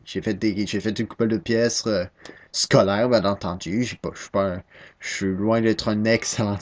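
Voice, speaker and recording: A man's voice: lowish voice, darkish tone. A nineteen-year-old man with a Québécois accent talking into his webcam at home, hesitant and self-deprecating, stumbling and restarting often. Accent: Québécois French. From Quebec, Canada. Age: 19, young adult. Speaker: first-time vlogger. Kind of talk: casual rambling webcam talk.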